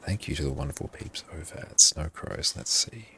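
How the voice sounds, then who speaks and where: A man livestreaming his gameplay in a hushed, half-whispered voice, often thanking his viewers. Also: very deep voice, lively intonation, normal speed, slight background noise.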